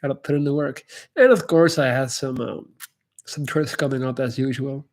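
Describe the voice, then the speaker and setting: A man from Belgium, speaking English, answers viewer questions on a live stream. His talk is loose and candid, with frequent "like"s and false starts. His pitch sits in the middle range for a man. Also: steady tone, moderate pace, a little mellow. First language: Dutch. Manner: candid and loose, full of "like" and restarts. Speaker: YouTuber hosting a live Q&A. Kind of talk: casual livestream Q&A chat.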